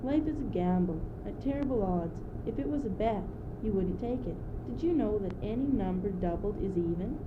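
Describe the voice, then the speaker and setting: A woman's voice: mid-range voice, expressive, normal speed, hardly any pauses, natural voice. A teenage female student actor playing a wry, worldly leader of traveling players in an amateur radio play, with a theatrical delivery. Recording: dull old tape, loud background noise.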